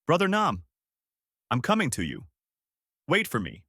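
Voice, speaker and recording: Man voicing a desperate, high-pleading woman teacher. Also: sing-song, normal speed, no room sound, studio quality. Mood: yearning. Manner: pleading, shouted cries. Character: devoted, desperate woman teacher crying out to lost brother.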